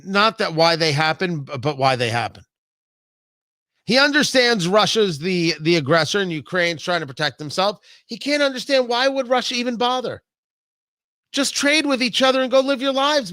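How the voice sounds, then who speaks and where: Bright, high-pitched American man delivering emphatic political commentary. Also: no echo, clean studio sound. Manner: emphatic, deliberate repetition for effect. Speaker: talk show host. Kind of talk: opinionated political commentary monologue.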